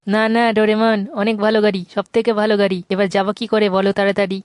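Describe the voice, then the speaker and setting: A woman's voice: medium pitch, flat delivery, rapid-fire, heavy background noise, natural voice. A woman voicing a timid, whiny schoolboy who pleads and complains in a cartoon dub. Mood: eager, doubtful.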